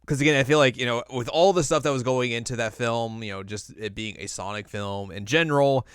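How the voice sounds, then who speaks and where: A man's voice: mid-range voice, fairly quick, clean tone, dry recording, studio quality. A man co-hosting a podcast in casual chat, with an informal manner and wry asides. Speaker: podcast co-host. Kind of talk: casual chat ranking video game movies.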